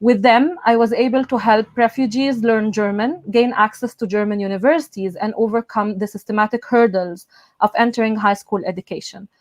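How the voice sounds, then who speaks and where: A young woman from Egypt, a native Arabic speaker, giving an earnest, measured welcome speech as event host over a video call. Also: medium pitch, dry recording. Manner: earnest, measured, with soft filler sounds. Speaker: event host, student union president.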